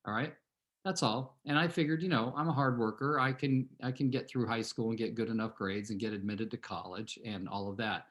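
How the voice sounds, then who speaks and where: A man's voice: mid-range voice, fairly even intonation, a little fast, fairly bright tone. A man in his sixties from California, a real estate team leader telling a rambling personal story to his team on a video call, often turning to address his listeners directly. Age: 60s.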